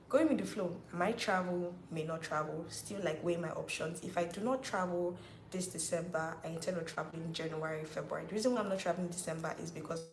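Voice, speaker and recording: A woman's voice: fairly low pitch, a little hiss or hum. A Nigerian woman in her twenties chatting casually on a livestream, reading viewer comments aloud and often saying "like". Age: 20s. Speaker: YouTuber hosting a live Q&A. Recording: roomy echo.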